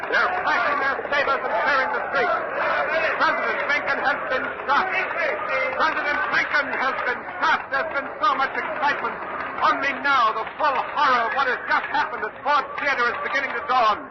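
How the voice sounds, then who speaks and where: A muffled, very noisy vintage radio broadcast with a big crowd roaring. a male field reporter with a very high, strained pitch, calling out the news urgently. He speaks in 1940s radio drama style. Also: steady tone, normal speed. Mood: shaken, grave. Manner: urgent, shouting over the noise. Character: excited male on-scene radio reporter amid panicked mob.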